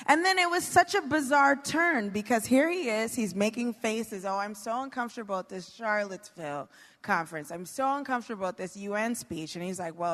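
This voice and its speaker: An American woman ranting informally on a live political panel, blunt, exasperated and quick to swear. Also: medium pitch, a bit bright. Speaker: comedian panelist. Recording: echoey hall, live stage show.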